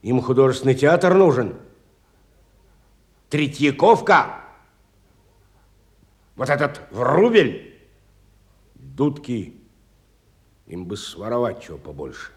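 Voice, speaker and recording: An actor playing a wealthy, educated, bitter industrialist in a radio stage drama, theatrical and musing, moving between soft and emphatic speech. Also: male voice, mid-range voice, big pitch swings, takes it slowly, long pauses. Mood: sardonic, brooding.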